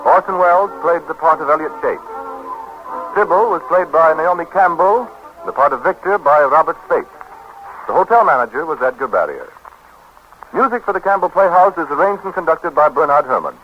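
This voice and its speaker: Male announcer, 1930s radio: fairly high, animated, salesmanlike, muffled vintage broadcast with light music. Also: brisk. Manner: polished, salesmanlike.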